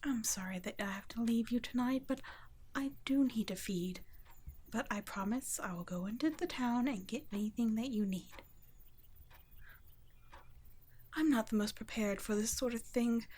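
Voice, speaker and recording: A woman playing a gentle, protective vampire caretaker in an intimate ASMR roleplay, speaking softly and breathily, often nearly whispering. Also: a little high, moderate pace, slight background noise, natural voice. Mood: apologetic, caring. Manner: soft, breathy, tender, often dropping to a whisper.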